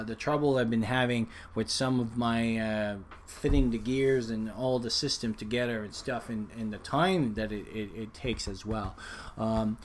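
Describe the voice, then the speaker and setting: Halting man vlogging over background noise. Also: mid-range voice, a little mellow. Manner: halting, frequent self-corrections and restarts. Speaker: vlogger, amateur radio hobbyist. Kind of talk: unscripted, rambling explanatory vlog talk.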